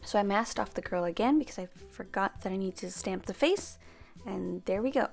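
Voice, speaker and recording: A woman's voice: mid-range voice, fairly quick, vocal fry, soft background music. Chatty young woman narrating a craft tutorial. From half Filipino, grew up speaking Tagalog. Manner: chatty, self-correcting, friendly explaining. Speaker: planner stamping vlogger.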